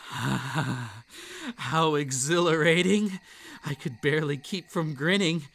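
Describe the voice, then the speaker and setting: A man's voice: a little high, sing-song, slow, vocal fry, fairly bright tone, slightly rough, voice pushed up, full character voice. A man voicing a male kitsune spirit in an audio roleplay, gleeful and menacing as he savors each word. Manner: relishing, teasing storyteller.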